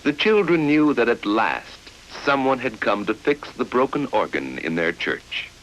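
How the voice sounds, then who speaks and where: A man narrating a vintage educational film from the 1950s in a measured, formal storytelling style, on an old film soundtrack. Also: medium pitch, very animated, a bit bright, slight background noise, rough recording. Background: music.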